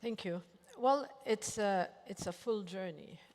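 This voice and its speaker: A woman's voice: medium pitch, unhurried, a little mellow. A woman bank executive speaking earnestly on a panel, often restarting her sentences. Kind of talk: panel discussion. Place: large hotel conference hall. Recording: reverberant conference hall.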